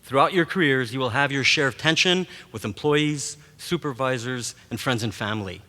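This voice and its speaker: A man's voice: medium pitch, pushed and bright. Man giving a speech in an echoing hall. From Montreal, Canada. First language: Greek. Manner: measured, rhetorical delivery. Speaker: professor giving a graduation speech. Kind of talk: formal commencement address. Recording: long reverb of a large hall.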